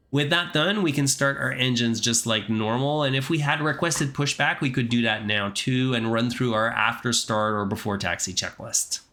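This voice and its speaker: A man's voice: medium pitch, pushed and bright. A man narrating an explanatory tutorial as an instructor, explaining things step by step. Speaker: flight simulator instructor.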